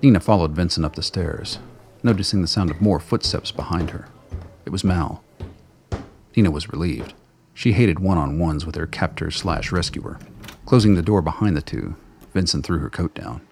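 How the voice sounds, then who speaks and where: A man's voice: sits low, normal speed, creaky, darkish tone, a little hiss or hum. A man reading scripted narration for a radio play as its storyteller. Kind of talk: scripted audio drama narration. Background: music bed.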